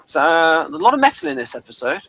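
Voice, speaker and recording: A man co-hosting a podcast with casual episode commentary, halting and repeating words as he thinks. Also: fairly high pitch, sing-song, a bit bright. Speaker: podcast co-host.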